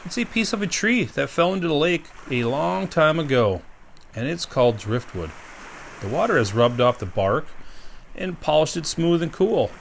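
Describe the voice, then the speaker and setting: Male park ranger reading a story to young kids, gentle and questioning, slightly raised voice. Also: fairly high pitch, big pitch swings, moderate pace, vocal fry, a little hiss or hum.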